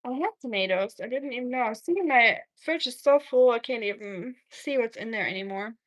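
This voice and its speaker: A German-accented woman hosts a casual cooking livestream, sharing kitchen tips and replying to viewers as she works. Her voice sits a little higher than most women's. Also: lively intonation, normal speed, a bit bright. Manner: chatty, reads and answers viewer comments while cooking.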